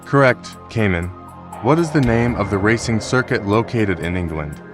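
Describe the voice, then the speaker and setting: A man's voice: lowish voice, animated, moderate pace, music in the background. A man hosting a scripted quiz show in a brusque, formal manner. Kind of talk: scripted game show presenting.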